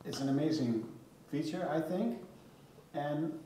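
A man's voice: fairly high pitch, unhurried, warm tone, slight background noise. A man in the audience asking a casual question, hesitating a little. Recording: distant echoey room audio.

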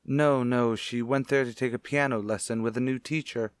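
A man acts a widowed father in an old-fashioned radio drama. He sounds anguished, self-pitying and theatrical, in a mid-pitched voice. The sound is close and dry. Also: fairly even intonation, normal speed, smooth, dry recording, lightly acted. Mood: grieving, evasive. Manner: melodramatic, distraught. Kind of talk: old-time radio play acting.

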